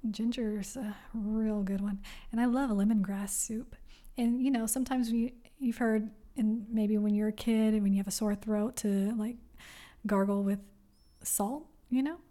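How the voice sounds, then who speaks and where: Soft-spoken woman, gentle and encouraging. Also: medium pitch, steady tone, normal speed. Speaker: podcast host. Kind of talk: solo wellness podcast talk.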